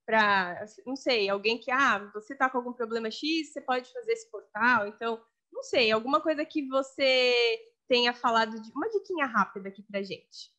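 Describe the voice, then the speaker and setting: A woman's voice: a little high, moderate pace. A woman in her thirties hosting a podcast over a video call, chatting expressively and asking her guest questions. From Brazil. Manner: friendly, expressive, asks long questions. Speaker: podcast host. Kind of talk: casual video-call interview. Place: home room with bookshelves. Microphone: large studio mic in frame.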